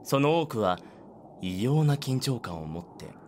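Expressive male drama narrator. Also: medium pitch, a little slow, a little hiss or hum. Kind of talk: scripted audio drama narration.

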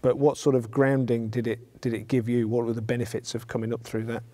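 Male host in his fifties from England, dark, mellow tone, careful and halting, lapel mic in a studio hall. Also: medium pitch, little pitch movement. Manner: hesitant, restarts, considered. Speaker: interviewer host. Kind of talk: interview. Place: studio hall with a grand piano.

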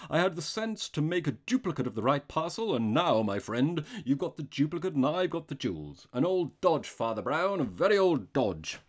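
Performer doing a loud, boastful master thief. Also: medium pitch, very animated, normal speed, natural voice. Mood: triumphant, mocking. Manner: loud, taunting, pompous. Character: tall master thief, boastful, menacing, theatrically gleeful villain.

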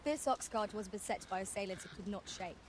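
An actress playing a loyal, earnest adventuring companion in a fantasy video game, speaking in formal, archaic phrasing. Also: female voice, mid-range voice, fairly quick, close to an everyday voice. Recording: game audio under clear ambient noise. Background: outdoor game ambience.

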